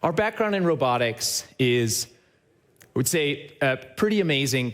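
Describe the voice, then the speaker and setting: A man who heads a company, giving a lively talk on a trade show stage and speaking straight to the audience, often tagging his points with a quick question. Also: mid-range voice. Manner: upbeat and enthusiastic, often checks in with a tag question; addresses the audience directly. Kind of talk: live conference presentation.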